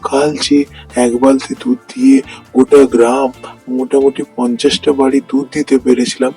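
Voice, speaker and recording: A man's voice: fairly high pitch, a little mellow. A man playing an honest, hardworking village milkman in a fairy-tale cartoon, speaking earnestly and pleadingly.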